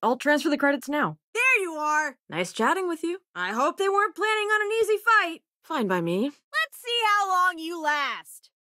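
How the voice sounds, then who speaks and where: A woman's voice: very high-pitched, big pitch swings, moderate pace, few pauses, bright, forceful tone, dry recording, studio quality, exaggerated voice. A woman voicing a sardonic, self-assured young female crewmate and researcher in a video game, with a casual, wry delivery. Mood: combat urgency.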